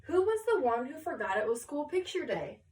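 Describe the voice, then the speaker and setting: A woman reading a children's story aloud with expressive, deliberate phrasing, pausing to question her listener. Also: high voice, distant mic.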